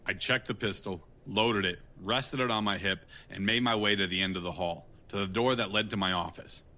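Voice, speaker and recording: Man playing a low, forceful hard-boiled tough guy. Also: normal speed. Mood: matter-of-fact. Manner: blunt, wry. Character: tough, wry male hard-boiled gun for hire. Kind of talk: audio drama acting and first-person noir narration.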